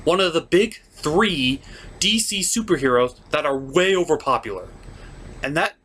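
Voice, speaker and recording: Opinionated male reviewer with lively intonation. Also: on the high side, normal speed. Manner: opinionated, sardonic. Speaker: puppet show reviewer. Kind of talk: comedic scripted film and cartoon critique.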